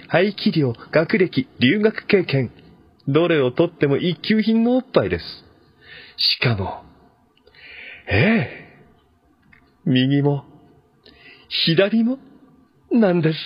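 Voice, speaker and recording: A man's voice: medium pitch, slightly rough, dry recording, lo-fi sound. Man acting an earnest, swooping, desperate ex-employee. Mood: overwrought praise. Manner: polite, pleading, increasingly overwrought. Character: earnest, desperate male ex-employee pleading in a letter. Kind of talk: audio drama letter monologue. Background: background music.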